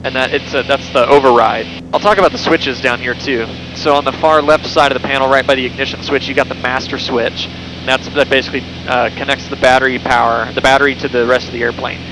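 A young man talks through a headset boom mic in a small plane's cockpit, walking through the controls in a relaxed, conversational way with the odd filler and self-correction. His voice sits at an ordinary middle pitch. A heavy, steady engine drone runs under every word. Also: fast talker. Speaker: pilot giving a cockpit tour. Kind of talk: casual explanatory walkthrough. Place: small airplane cockpit in flight. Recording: engine noise through intercom.

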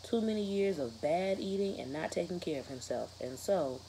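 A serious mother laying down the rules to her teenage son, acted by a woman reading aloud. The tone is mellow and firm, in a storybook style with faint noise. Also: on the low side, expressive, moderate pace, low-quality recording. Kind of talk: children's novel read-aloud.

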